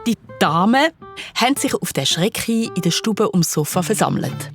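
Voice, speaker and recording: A woman's voice: medium pitch, expressive, moderate pace, soft background music, close to an everyday voice. A woman playing a wry, playful narrator, telling a scripted children's detective story in German. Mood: storytelling.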